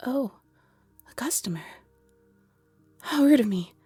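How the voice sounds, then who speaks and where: A woman's voice: high voice, lively intonation, slow, warm tone, slightly rough, no echo, faint music behind, heavily acted. A woman playing a shy, polite young half-owl shopkeeper, hesitant, apologetic and nervously chatty, in a roleplay audio drama. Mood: flustered, grateful.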